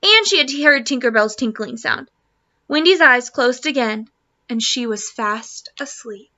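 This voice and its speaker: A woman teacher reading a children's storybook aloud to students, expressively and deliberately. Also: on the high side, expressive, sharp tone, lo-fi sound. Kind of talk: children's storybook read-aloud in Spanish and English.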